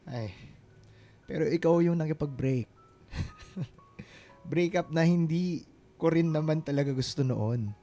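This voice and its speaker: A mellow, fairly high-voiced Filipino man in a radio romance. He is an earnest, devoted suitor who speaks softly pleading, halting lines. Music plays faintly behind him. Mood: wistful. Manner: pleading, halting, stumbles over words. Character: earnest, devoted man gently courting his former girlfriend. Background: background music.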